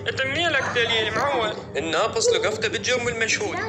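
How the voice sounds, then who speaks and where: Guy putting on a squeaky panicky iraqi buddy, stammers, fast, echoey with music under it. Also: animated, very noisy. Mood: pleading. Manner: frantic, pleading, stammering. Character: young man's excitable high-voiced friend.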